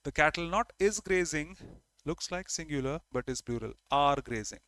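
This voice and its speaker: Man, Indian English accent, grammar teacher, repeats key words, slightly high voice, dry close sound. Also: lively intonation, moderate pace, a bit bright, no room sound. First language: Hindi. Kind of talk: online grammar lesson.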